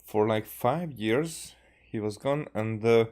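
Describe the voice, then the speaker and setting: A man from Hungary giving casual game commentary, halting and repeating words as he thinks aloud. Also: lowish voice, a little mellow. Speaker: game streamer.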